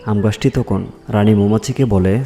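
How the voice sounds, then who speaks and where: A man's voice: lowish voice, normal speed, mellow, soft background music. A man narrating a scripted fairy tale for children as a storyteller.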